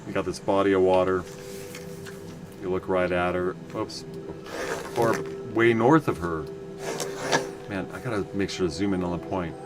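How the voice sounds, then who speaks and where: Rambling low-voiced man streaming a game. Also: fairly even intonation, normal speed, long pauses, music in the background. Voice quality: loud voice. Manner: rambling, self-correcting, chatty asides to viewers. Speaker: livestream game player. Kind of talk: casual gameplay commentary.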